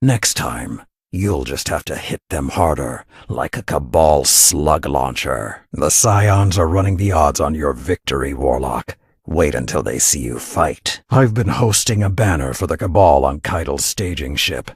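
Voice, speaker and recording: A man playing a solemn, proud warrior lord announcing matches in a video game, measured and stately. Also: a bass voice, animated, slightly rough, dry recording, clean studio sound, exaggerated voice. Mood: stirring, proud.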